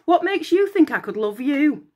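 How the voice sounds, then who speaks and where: A woman's voice: high-pitched, big pitch swings, normal speed, a little mellow, voice pushed up. A woman voicing a vain, rude young man in a storybook, scoffing and dismissive. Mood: scornful. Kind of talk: storybook reading voice.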